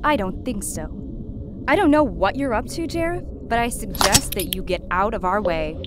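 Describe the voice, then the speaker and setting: A woman playing a feisty, bold treasure hunter in a sci-fi audio drama, with a blunt, animated way of talking. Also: mid-range voice, normal speed, heavy background noise, close to an everyday voice. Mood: defiant.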